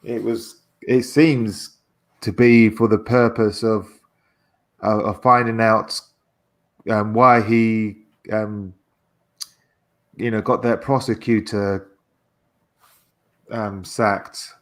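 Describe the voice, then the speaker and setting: A man's voice: lowish voice, little pitch movement, takes it slowly, long pauses. A man in a casual political discussion on a livestream, speaking hesitantly and often repeating words as he goes.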